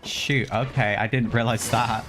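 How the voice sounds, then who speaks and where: A man's voice: mid-range voice, fairly even intonation, a little slow. A man streaming a video game, reacting casually with informal interjections and asides. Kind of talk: casual live gameplay reaction. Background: game music.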